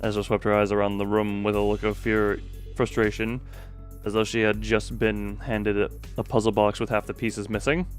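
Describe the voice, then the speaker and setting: A man's voice: lowish voice, level tone, moderate pace, soft background music, pitched below the performer's own voice, close to an everyday voice. A man reading game story narration aloud as a grave, reflective narrator. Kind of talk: scripted narration read aloud.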